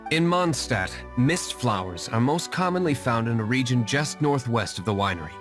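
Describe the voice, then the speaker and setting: Guy voicing a calm formal young noble, measured but expressive, game music under it. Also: mid-range voice, lightly acted. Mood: reserved. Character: brooding young nobleman winery owner, cool and composed. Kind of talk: video game voice acting. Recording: game audio with music behind.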